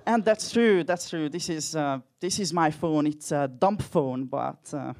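A man's voice: fairly high pitch, unhurried, background noise. A young man with a Hungarian accent doing a dry, self-mocking stand-up comedy set with crude jokes. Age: young adult.

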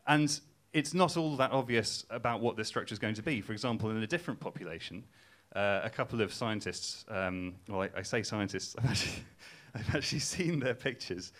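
A man's voice: fairly low pitch, brisk, hardly any pauses, a bit bright. Young Geordie man doing stand-up. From England. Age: young adult. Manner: casual, self-mocking, hesitant with ums. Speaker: comedian. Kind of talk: stand-up comedy set. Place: live stage before laughing audience.

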